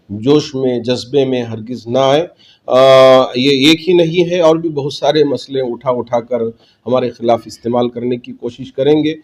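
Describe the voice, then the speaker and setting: Male cleric, dark soft-edged tone, measured formal delivery, public appeal. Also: mid-range voice. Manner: measured, formal, Arabic-laced religious Urdu phrasing. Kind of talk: spoken public statement to community.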